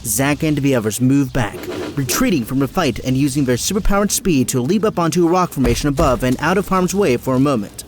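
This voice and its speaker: A man's voice: mid-range voice, expressive, brisk, hardly any pauses, a little hiss or hum. A man reading scripted dramatic narration for an audio drama.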